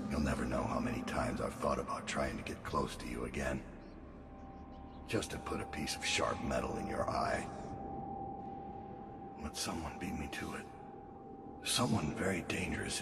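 An actor playing a weary, brooding middle-aged assassin full of regret in a video game, grim and deliberate, with a deep gravelly rasp. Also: male voice, full character voice. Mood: quiet, brooding. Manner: slow, grim, deliberate delivery. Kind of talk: dramatic video game monologue.

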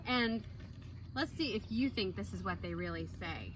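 A woman's voice: mid-range voice, moderate pace. A woman in her thirties hosting children's storytime, playful and sing-song as she chats and sings to a young child. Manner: playful, sing-song, animated with silly animal noises. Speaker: children's library storytime host. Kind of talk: singing and chatting to a young child. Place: outdoors on a blanket in a wooded yard. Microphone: camera a few metres away. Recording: distant mic outdoors with clear background noise. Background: outdoor ambience, animal sounds.

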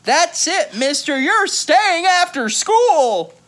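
Man as an exasperated, high-pitched scolding teacher. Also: sing-song, exaggerated voice. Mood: fed up. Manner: stern, loud, drawn-out scolding. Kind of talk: storybook read-aloud character.

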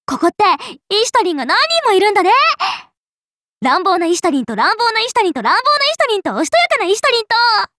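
A woman voicing a cheeky, exuberant young cowgirl gunslinger in an anime game, gushing and excitable. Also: very high voice, very fast, dry recording, exaggerated voice. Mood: excited, gushing. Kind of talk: anime game character voice lines.

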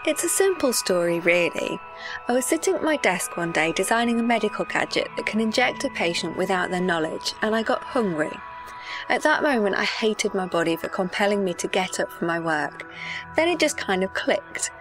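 A woman's voice: mid-range voice, expressive, normal speed, over music, close to an everyday voice. A woman playing a cheerfully callous corporate chief executive, speaking in a breezy, matter-of-fact way. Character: cheerfully callous female food-tube CEO. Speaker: guest. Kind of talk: comedic radio-show parody.

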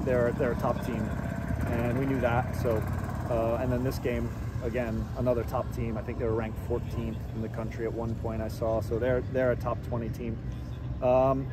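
Brief: middle-aged male coach, even mid pitch, measured answers with restarts, outdoor street hum behind. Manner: measured, repeats words while thinking. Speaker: head soccer coach. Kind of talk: postgame press interview. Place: outdoors by a stadium track at night.

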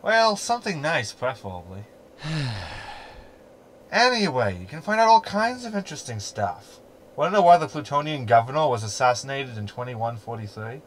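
A man's voice: medium pitch, very animated, moderate pace, fairly bright tone, slight background noise. A man playing a snarky, meddling hologram in a sci-fi sitcom audio drama, talking in a glib, verbose, sardonic way. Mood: teasing. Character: snarky, meddling male hologram ship companion.

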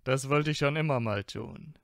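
A man's voice: mid-range voice, normal speed, vocal fry, fairly bright tone, no room sound, studio quality. A man acting a teasing, affectionate teenage wizard boy in a fan audio drama, with a playful drawl. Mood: amused.

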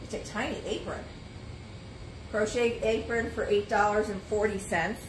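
A woman's voice: mid-range voice, moderate pace. Middle-aged woman doing a live antiques sale, chatty, rattling off prices, echoey shop with noise around. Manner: chatty, reads out prices and sizes while handling items. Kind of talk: live sales stream, casual item pitching. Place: cluttered antiques shop booth, indoors. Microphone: phone or camera on a stand, moving around in frame. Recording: roomy shop sound with background noise.

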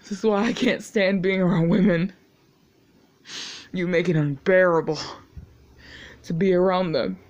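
A man performing a tormented son at the end of his rope, spitting curses at a mother only he can hear. He speaks slowly and heavily, with long weary pauses. The recording is dull and lo-fi. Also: high-pitched, fairly even intonation, a little mellow. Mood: bitter. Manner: anguished, cursing, talking to someone absent. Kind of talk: dramatic monologue.

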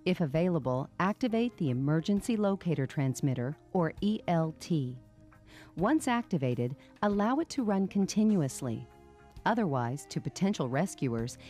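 A woman reading scripted instructional narration as a narrator, in a measured, clearly enunciated manner. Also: low-pitched, mellow.

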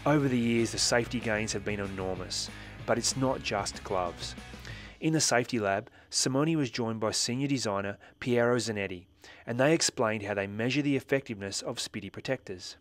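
A man narrating a motorcycle gear factory tour in a steady, informative scripted voice-over. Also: lowish voice, moderate pace. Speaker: motorcycle magazine presenter. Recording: voice-over with music behind.

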